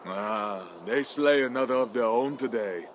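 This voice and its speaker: An actor playing a grim, world-weary Viking warrior with a dry way of talking. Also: male voice, medium pitch, a little slow, a little hiss or hum, close to an everyday voice.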